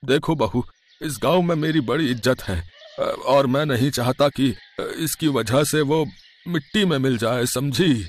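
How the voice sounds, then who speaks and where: An actor playing a stern, old-fashioned elderly village patriarch who scolds emphatically in an animated family story. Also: male voice, medium pitch, measured pace, lightly acted. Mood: stern, disapproving. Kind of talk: animated moral story dialogue.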